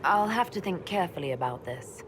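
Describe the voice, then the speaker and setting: A woman voicing a calm, self-assured elf mage leader in a video game, speaking in a measured way. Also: on the low side, noisy background, close to an everyday voice. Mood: calm concern. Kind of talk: video game dialogue acting.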